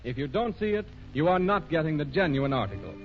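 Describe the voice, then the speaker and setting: A man announcing on 1930s radio in a formal, scripted sales delivery. His voice is high for a man. The vintage recording is muffled, with faint music behind him. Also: very animated, quick pace.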